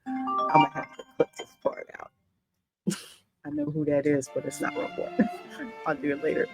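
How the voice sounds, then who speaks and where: A woman's voice: low-pitched, steady tone, normal speed. A woman hosting a gaming channel, reading dialogue aloud and reacting in a chatty, playful, teasing way. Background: game music.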